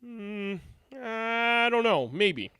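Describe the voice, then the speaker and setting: A reader voicing a boastful, self-important composer with a pompous, theatrical, drawn-out delivery. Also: mid-range voice, big pitch swings, pushed and bright, smooth, dry recording, studio quality, pitched above the performer's own voice, heavily acted. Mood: coy hesitation.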